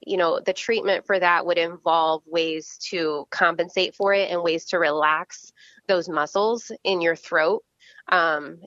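A woman speech-language pathologist talks through voice exercises in an easy, explanatory way, with plenty of fillers. Her voice sits a little low. The recording is dry and slightly muffled. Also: no echo. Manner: explanatory, steady, many fillers. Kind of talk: casual interview answers.

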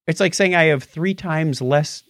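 A man's voice: a little high, a little slow, fairly bright tone, no echo, studio quality. A middle-aged man co-hosting a casual tech news show, talking with animation and stumbling over hard words. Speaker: podcast co-host.

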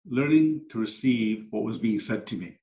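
A man's voice: fairly low pitch, animated, normal speed, warm tone. A middle-aged man, a coach and speaker, telling his story as a podcast guest in an earnest, reflective way. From Canada, moved there at ten. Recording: echoey room, dull lo-fi sound.

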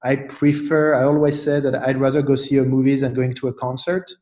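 A man from France, speaking as an interview guest in a thoughtful, halting way with many fillers and restarts. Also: mid-range voice, level tone, a little mellow, echoey room, muffled recording. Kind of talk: casual interview chat.

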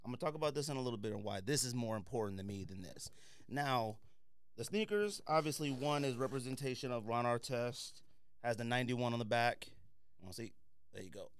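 A man's voice: medium pitch, fairly quick, clear, resonant tone. A man hosting a podcast, giving casual sneaker reviews in an opinionated, slangy way and repeating points for emphasis. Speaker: podcast host.

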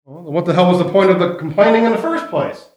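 A man's voice: high voice, animated, fast talker, a little mellow. A man co-hosting a live radio-style comedy show in a grumpy, exasperated, sarcastic manner. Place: comic shop, live before audience. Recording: echoey live room recording.

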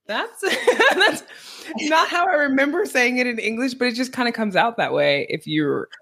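A woman interviewing a guest in a casual conversation, chatty and friendly, with quick laughs and many small agreeing sounds. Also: on the high side, lively intonation, a bit bright, slightly rough.

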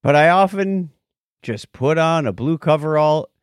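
Male podcast co-host, lively pitch swings, wry and casual, dry close sound. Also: measured pace, smooth, dry recording. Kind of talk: casual banter, reading listener messages aloud.

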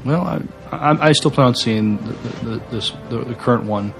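A man co-hosting a podcast, speaking casually and haltingly, often repeating words as he goes. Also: mid-range voice, gravelly, music in the background, low-quality recording. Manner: halting, repeats words while searching for phrasing. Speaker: podcast co-host. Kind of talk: casual chat, reading notes aloud.

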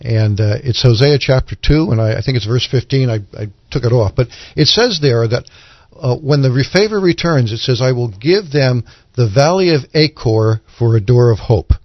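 A man co-hosting a radio Bible programme, explaining scripture in an earnest, measured teaching manner. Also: mid-range voice, lively intonation, no room sound, muffled recording. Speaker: radio Bible commentator and co-host. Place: radio studio.

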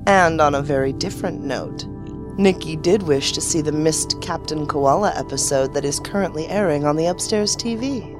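Low-pitched woman narrator over clear music. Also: normal speed. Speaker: announcer and series narrator. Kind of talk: dramatic scripted narration.

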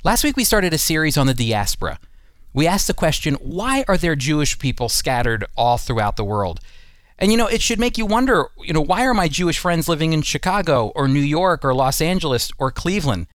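A man's voice: fairly high pitch, very animated, brisk, fairly bright tone, no room sound, clean studio sound. An American man hosting a Bible teaching program, explaining earnestly and emphatically in a prepared talk. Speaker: radio Bible teaching host. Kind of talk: teaching talk with scripted segments.

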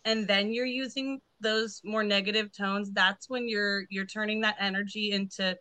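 Female cohost, bright clear tone, earnest and reflective. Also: medium pitch, fairly even intonation, normal speed. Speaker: teacher, cohost. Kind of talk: casual chat.

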